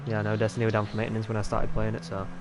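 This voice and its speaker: A man's voice: on the low side, completely flat delivery, rapid-fire. Yorkshire man streaming, game noise behind. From Yorkshire, England. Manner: rambling, loose, swears freely. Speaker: gaming streamer. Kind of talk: casual gameplay commentary.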